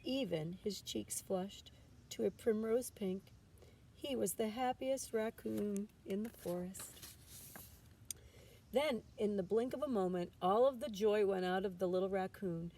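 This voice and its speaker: Female storybook reader, teacher style: dark, soft-edged tone, expressive narration, somewhat distant and noisy recording. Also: medium pitch, a little slow. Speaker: teacher reading a storybook aloud. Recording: distant mic with background noise.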